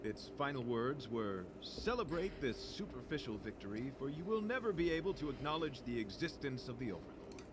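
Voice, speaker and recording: An earnest, courtly knight from a video game , voiced by a man. His speech is formal, solemn and poetic, swinging widely in pitch, over noisy ambience. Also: sing-song, brisk, few pauses, close to an everyday voice.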